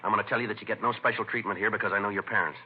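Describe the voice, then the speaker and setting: An actor playing a stern, matter-of-fact police detective in a 1950s radio drama. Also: male voice, on the low side, steady tone, racing, close to an everyday voice. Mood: stern lecturing. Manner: terse, matter-of-fact, authoritative. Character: stern, clipped, no-nonsense male police detective. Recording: muffled, noisy vintage radio recording.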